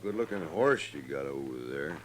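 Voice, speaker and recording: An actor in an old-time radio western playing a suspicious, laconic outlaw sidekick with a rural American Western drawl. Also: male voice, extremely low pitch, expressive, normal speed. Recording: very noisy old radio recording.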